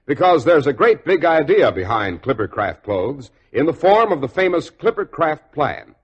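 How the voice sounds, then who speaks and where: A man's voice: on the high side, big pitch swings, moderate pace, muffled recording. A man announcing on 1940s radio with an emphatic, salesmanlike delivery. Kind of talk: 1940s radio advertising and narration.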